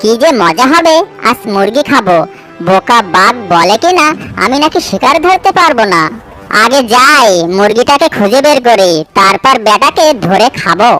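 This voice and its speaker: A woman's voice: fairly high pitch, expressive, moderate pace, bright, forceful tone, faint music behind, rough recording. A woman voicing a boastful, hungry fox villain in a children's cartoon, taunting and bragging. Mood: scheming.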